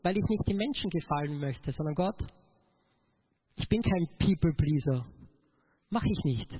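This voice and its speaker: High-pitched Austrian man preaching, phone-like sound. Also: expressive, moderate pace, breathy edge. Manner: emotional, emphatic, addresses listeners directly. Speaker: motivational speaker giving a testimony.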